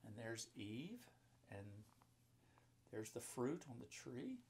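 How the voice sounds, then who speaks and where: A man's voice: mid-range voice, sing-song, slow, darkish tone, slight background noise. Male, 50s, pastor type with a mid-range voice, reading and explaining simply for young listeners. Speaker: pastor and children's book author. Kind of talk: children's story reading with chatty asides. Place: indoor book-lined room at home or office.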